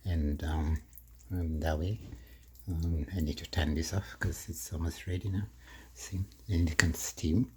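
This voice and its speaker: A middle-aged man from Kenya, chatting slowly in his home kitchen while cooking, with many fillers and soft laughs. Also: rumbling low, fairly even intonation, a little hiss or hum. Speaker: vlogger. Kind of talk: casual cooking vlog monologue.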